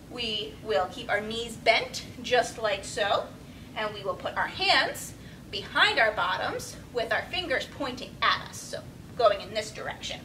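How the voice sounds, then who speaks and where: A young woman with a bright, fairly high voice guides small children through yoga poses. She gives simple, encouraging directions while demonstrating. She is recorded from across the room with a low rumbly noise behind her. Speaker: children's yoga storytime instructor. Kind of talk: instructional talk for young children. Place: small indoor room with padded steps. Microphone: camera several metres away. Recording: distant camera mic, rumbly background noise.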